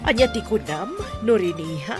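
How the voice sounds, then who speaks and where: A woman's voice: on the low side, expressive, moderate pace, loud music behind the voice, close to an everyday voice. A woman acting a doting mother eager for grandchildren in a serial audio drama. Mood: pleased, curious. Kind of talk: Filipino radio-style drama dialogue.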